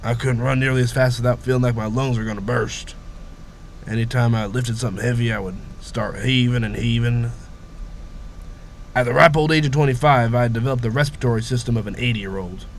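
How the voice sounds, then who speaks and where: A man playing a bitter, dying older father and former firefighter in an audio drama, ranting and quick to anger. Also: medium pitch, slight background noise, lightly acted. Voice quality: wheezy, breathy from lung disease. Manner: ranting, embittered, quick to shout.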